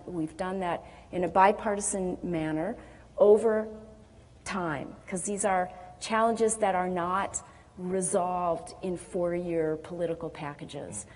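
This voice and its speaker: A slightly low woman makes a measured, persuasive case on a panel. Her voice carries the long reverb of a big hall. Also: a little mellow. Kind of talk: panel discussion answer. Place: conference hall.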